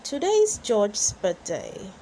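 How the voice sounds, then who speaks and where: A woman reading a storybook aloud for children in an expressive way, as the host. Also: medium pitch, slow pace, slight background noise, low-quality recording. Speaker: storybook reader and channel host.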